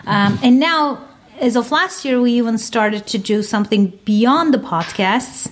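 A woman from Turkey, a science podcaster answering interview questions with many fillers. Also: on the high side. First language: Turkish. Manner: many fillers, talks in quick bursts.

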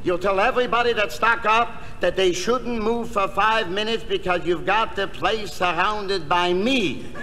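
A bossy, self-important bank robber from a 1960s comedy album, high-voiced and slow. He is condescending and exasperated, on a noisy record. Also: big-room sound. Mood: bossy, instructing. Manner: deliberate, condescending.

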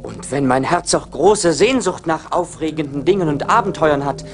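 Anxious, naive young fellow, music behind. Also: male voice, on the high side, lively intonation, moderate pace. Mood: wary. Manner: hesitant, wondering, theatrical. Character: anxious, naive young charcoal burner, hesitant. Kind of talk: German radio play acting. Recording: 1980s vinyl radio play with music.

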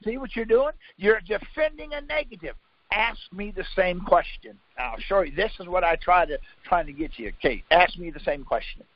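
High-pitched man, insistent quizzing teacher, informal, contradicts bluntly, muffled dry conference call audio. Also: expressive, normal speed, no room sound. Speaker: conference call leader teaching legal theory. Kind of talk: informal instruction by questions. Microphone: conference call line.

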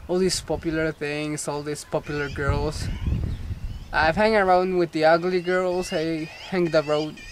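A man's voice: fairly high pitch, steady tone, a little slow, noisy background. Chatty young man vlogging outdoors. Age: young adult. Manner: joking, rambling, chatty. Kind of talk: casual vlog chat. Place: outdoor playground. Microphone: camera mic at arm's length.

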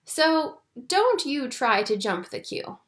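A woman's voice: high voice, very animated, slow, fairly bright tone, heavily acted. A woman voicing an unyielding, suspicious queue of storybook animals in a firm, dismissive way. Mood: firm refusal. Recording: echoey room.

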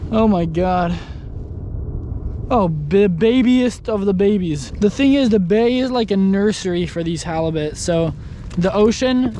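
A young man fishing from a kayak on open water, chatting casually to an action camera and breaking into excited exclamations. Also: high voice, slight background noise. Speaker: kayak angler. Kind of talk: casual fishing vlog commentary. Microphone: action camera mounted on the kayak.

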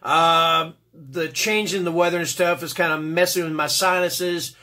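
Congested man with a bright, high voice. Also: steady tone, measured pace. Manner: rambling, many fillers and restarts. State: congested, stuffy nose. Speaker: book reviewer, channel host. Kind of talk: casual spoken book review. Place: small dim home room, seated indoors.

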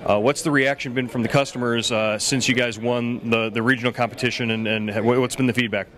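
A man, hosting a casual food interview on a handheld mic in a pub, relaxed and chatty with lots of fillers. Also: medium pitch, a little fast. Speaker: food show host. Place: pub dining room, indoors.

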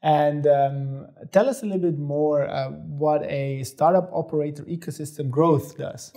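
Middle-aged male host, mellow voice. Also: on the high side, fairly even intonation, unhurried. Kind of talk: interview hosting. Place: studio set with armchairs.

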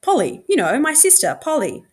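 A woman's voice: high-pitched, huge pitch swings, moderate pace, pitched above the performer's own voice. A woman voicing a mild, placating father in a storybook, reassuring and apologetic in manner.

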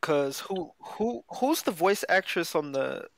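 A man's voice: a little high, expressive, slow pace, vocal fry, crowd noise. A young adult man co-hosting a podcast in casual, slangy talk, often repeating his phrases. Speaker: podcast co-host. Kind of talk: casual chat.

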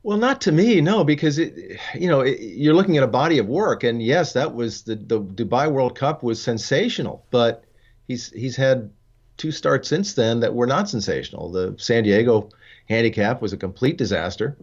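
An older man co-hosting a casual discussion show, talking in a measured, thoughtful way over a video call. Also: mid-range voice, lively intonation. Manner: measured, thoughtful, frequent fillers and restarts. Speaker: co-host and racing journalist. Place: studio with dark backdrop. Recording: slightly dull lo-fi sound.

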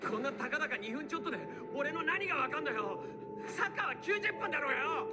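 A man's voice: animated, fast. A man playing an arrogant, unraveling teenage soccer star in an anime, strained and indignant. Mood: indignant, desperate. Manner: indignant, strained, high outbursts. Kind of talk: dubbed anime drama acting. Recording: roomy, distant anime playback with music.

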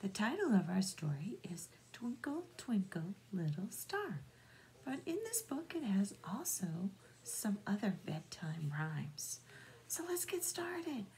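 A middle-aged woman reading bedtime nursery rhymes aloud to young children in a soft, singsong, drawn-out way. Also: mid-range voice, very animated, low-quality recording. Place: small room at home, indoors. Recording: noticeable background room noise.

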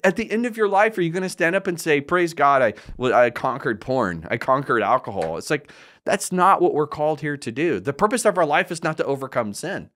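A man's voice: fairly high pitch, sing-song, a little fast, a bit bright. A man in his forties, a pastor from the United States, hosting a solo podcast and talking earnestly and directly into a studio mic, often restarting and repeating words. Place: small studio set indoors. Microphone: large studio mic in front of mouth.

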